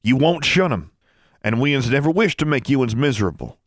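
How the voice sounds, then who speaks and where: Man as a stern backwoods boy, raised pitch. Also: animated, fairly quick, no echo, studio quality. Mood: stern, accusing. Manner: loud, domineering, rustic dialect. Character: stern, self-righteous backwoods teenage boy wizard.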